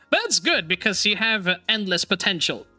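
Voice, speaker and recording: A man hosting a casual chat stream, laughing often and talking in an animated, teasing way; English is not his first language. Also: sits high, a little slow, soft background music. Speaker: streamer host.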